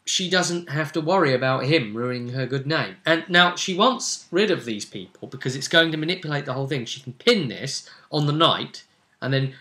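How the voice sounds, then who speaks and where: A man's voice: a little high, lively intonation, moderate pace, bright, forceful tone. A man casually recapping a role-play game as the session's host.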